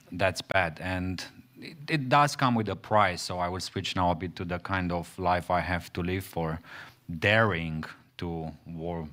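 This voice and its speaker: A man from Romania, giving halting panel remarks as an activist at a conference, with many pauses and restarts. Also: low-pitched, fairly bright tone. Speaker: panel speaker, forest activist. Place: conference hall.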